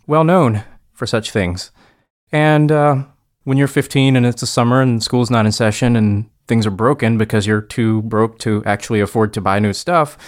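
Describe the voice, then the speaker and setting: A man with an average-pitched voice tells an old story in a loose, chatty way. He pokes fun at himself and laughs often as he goes. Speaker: podcast co-host. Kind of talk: casual podcast conversation.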